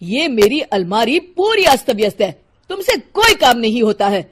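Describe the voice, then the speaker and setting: Woman as a husky, nagging husband. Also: a little high, sing-song, normal speed. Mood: irritated. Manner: fault-finding, accusing.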